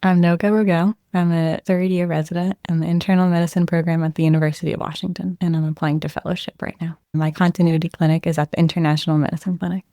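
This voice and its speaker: A young woman, a medical resident, answering interview questions in a soft, reflective way, often hedging with "I think" and "like.". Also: lowish voice, flat delivery, fast, few pauses, dry recording, studio quality, faint music behind. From Israel, raised near Boston.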